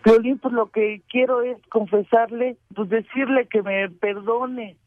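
A woman's voice: a little high, steady tone, a little slow, no room sound. A woman calling in to a radio show, pleading and distressed as she confesses her problem. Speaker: caller. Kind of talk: phone-in confession. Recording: tinny phone line.

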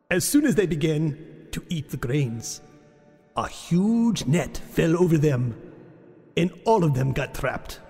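Theatrical male storyteller, high and swooping. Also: a little mellow. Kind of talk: dramatic folk tale reading. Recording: long reverb with music behind.

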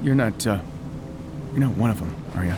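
An actor playing an awkward, earnest, self-important conspiracy writer in an audio drama, hesitant, flustered and stammering. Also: male voice, on the low side, a little fast, noisy background, natural voice.

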